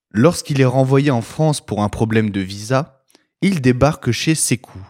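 A French-speaking man co-hosting a film show, reading a synopsis aloud in a steady, scripted way. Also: mid-range voice.

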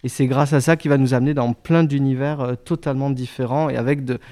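A man's voice: medium pitch, fairly even intonation, darkish tone. A man, an actor, describing his stage show in a radio interview, often restarting his sentences. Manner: halting, many restarts.

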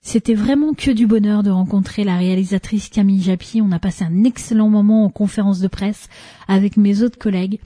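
A woman's voice: mid-range voice, fairly even intonation, brisk, warm tone. A woman presenter giving an enthusiastic voice-over introduction to an interview clip.

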